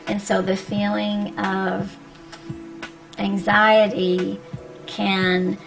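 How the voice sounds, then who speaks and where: A woman speech pathologist speaks on a radio talk show in a patient, measured, explanatory way, pausing with ums as she walks through each point. Her pitch sits in the middle of the range. Music plays clearly underneath in the studio. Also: flat delivery, rough recording. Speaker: speech-language pathologist guest.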